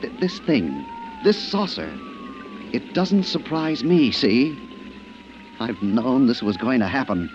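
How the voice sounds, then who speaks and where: An actor playing a nervous, secretive, stubborn family man in an old radio drama, halting and stammering but insistent. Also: medium pitch, huge pitch swings. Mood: urgent, intense. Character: jittery, secretive, stubbornly possessive suburban husband and father. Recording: muffled, hissy vintage radio recording.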